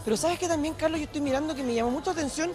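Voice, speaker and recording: A young man with a Chilean accent, a TV reporter walking a craft fair and pitching it to viewers with a handheld mic. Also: very fast. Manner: upbeat, persuasive, addresses viewers directly. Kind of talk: promotional TV fair walkthrough. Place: indoor craft fair hall. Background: background music.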